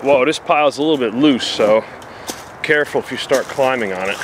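A man's voice: mid-range voice, brisk, background noise. A man with a New Jersey accent casually describing what he finds while walking through the woods, talking into a handheld camera with plain, filler-dotted narration. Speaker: outdoor explorer vlogger. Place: woods outdoors.

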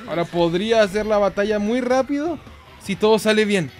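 A young man giving casual, excitable, foul-mouthed commentary as host of a gameplay video. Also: sits high, brisk. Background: game music.

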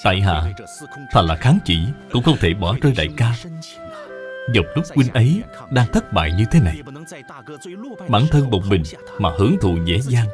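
A man's voice: medium pitch, lightly acted. A man voicing an upright, earnest younger brother, an official in a cartoon who formally blames himself. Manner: earnest, formal, self-reproaching.

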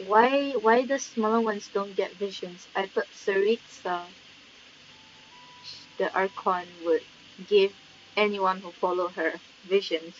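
A woman's voice: mid-range voice, steady tone, drawn-out words. A woman giving casual gameplay commentary, hesitant and rambling as she thinks aloud. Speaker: game streamer. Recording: dull lo-fi mic, light noise.

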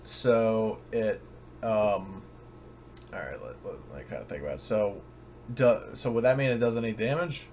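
A man's voice: on the low side, moderate pace, darkish tone. A man running a tabletop game as game master, speaking casually and hesitantly, stumbling as he works out the rules. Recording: noisy home recording of online game session.

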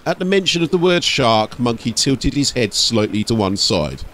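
A man's voice: mid-range voice, big pitch swings, normal speed, a bit bright, a little hiss or hum. A man narrating from a script as an audiobook storyteller and host.

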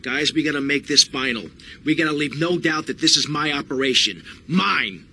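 An actor playing a hot-tempered, commanding young crime boss in a video game, with a curt, tough manner. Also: male voice, on the high side, normal speed, pushed and bright, slight background noise. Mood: angry, forceful. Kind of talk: video game cutscene acting.

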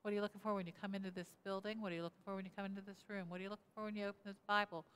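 A woman's voice: medium pitch, flat delivery, racing, fairly bright tone, slight background noise. Woman pastor preaching in a reverberant church. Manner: asks the congregation questions and repeats their answers. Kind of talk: interactive sermon. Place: church sanctuary, indoors, at a lectern. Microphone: lectern mic, camera at mid distance.

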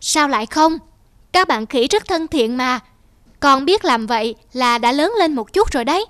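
A woman's voice: high voice, animated, normal speed, fairly bright tone, no room sound, clean studio sound. A woman voicing a stern but caring mother leopard in a children's cartoon, with a firm, instructive manner. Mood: gentle, teaching.

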